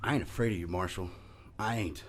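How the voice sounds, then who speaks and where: A man's voice: sits low, a little fast. An actor playing a defiant, surly American gunman in an Old West radio play, speaking curtly. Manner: curt, defiant. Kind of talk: old-time western radio play acting.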